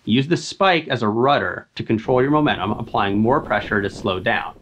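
A man's voice: mid-range voice, lively intonation, normal speed, fairly bright tone, dull audio, a little hiss or hum. An American man in his thirties gives scripted instructional narration, explaining methodically, step by step. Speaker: outdoor skills instructor and video host.

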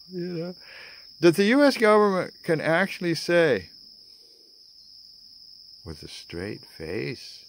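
An American man in his sixties giving a theatrical spoken-word political rant to camera, with dramatic pauses and wry asides. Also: on the low side, gravelly, slight background noise. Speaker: vlogger. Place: outdoors among greenery. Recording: outdoor ambience with chirping insects.

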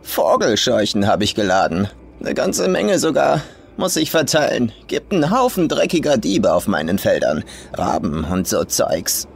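A man's voice: medium pitch, very animated, normal speed, gravelly, a little hiss or hum. A man voicing a burly, crude, bossy old farm woman who talks in brash, clipped colloquial phrases in an audio horror story. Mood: gruff, teasing.

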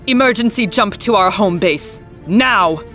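A woman's voice: mid-range voice, animated, measured pace, over loud music, lightly acted. A woman playing a defiant, imperious alien usurper who commands a warship in an audio drama. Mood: commanding.

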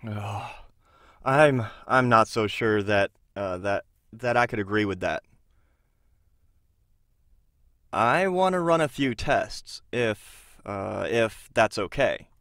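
A man playing a patient but probing counselor in an audio drama, questioning in a halting way. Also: lowish voice, animated, a bit bright, dry recording, clean studio sound, exaggerated voice. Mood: uneasy, conciliatory.

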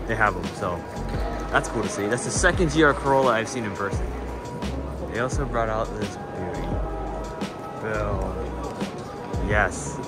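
A man filming himself as he walks a large indoor car show, giving casual, enthusiastic commentary on the builds with light swearing. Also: lowish voice. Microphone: handheld camera, filming point of view. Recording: loud music behind, busy hall.

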